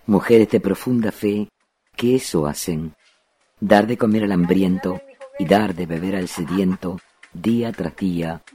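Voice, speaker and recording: Very deep, husky male documentary narrator. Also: unhurried, mellow. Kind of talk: scripted narration.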